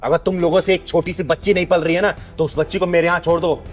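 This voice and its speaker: Kinnar matriarch played by a man for a drama sketch: stern, motherly, rhetorical scolding, raised pitch. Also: flat delivery, quick pace, slight background noise, close to an everyday voice. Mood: angry scolding. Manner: preachy, commanding, rhetorical questions. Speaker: lead performer in moral drama sketch. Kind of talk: scripted Hindi moral drama acting.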